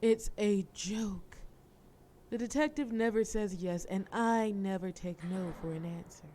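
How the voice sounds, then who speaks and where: A woman's voice: mid-range voice, unhurried, warm tone, slight background noise, close to an everyday voice. A woman playing a defiant, jaded mafia boss in an audio roleplay, speaking in a dry, wry, dismissive way. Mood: sardonic, flirtatious. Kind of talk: scripted audio roleplay acting.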